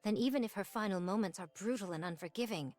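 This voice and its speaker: A woman's voice: medium pitch, fast, a little mellow, dry recording, faint music behind, lightly acted. A woman voicing a stern woman warrior in a game, with formal, archaic and reproachful speech. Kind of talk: scripted game voice acting.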